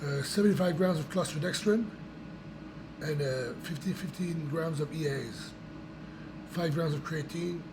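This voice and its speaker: Raspy-voiced man vlogging through a cold. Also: a little high, unhurried, long pauses, noisy background. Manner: rambling, with restarts and fillers. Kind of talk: casual chat to camera.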